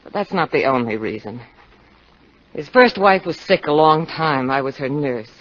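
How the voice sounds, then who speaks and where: An actress playing a discontented, scheming wife who pleads seductively, in the style of 1950s radio drama. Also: female voice, low voice, animated, moderate pace, fairly bright tone. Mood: bitter, confiding. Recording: dull, lo-fi 1950 radio broadcast.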